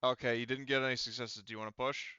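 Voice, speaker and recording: A man acts as game master in a casual online tabletop session. His voice is smooth and mid-pitched, recorded dry but a little dull, and he works through numbers haltingly, thinking out loud. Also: level tone, dry recording. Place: remote online voice call.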